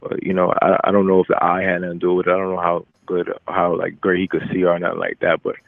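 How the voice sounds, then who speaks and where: Young adult man, deep voice, halting casual interview answers, repeated phrase starts, tinny phone audio. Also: monotone, vocal fry, no echo. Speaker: boxer answering reporters. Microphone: telephone. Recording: narrow, tinny phone line.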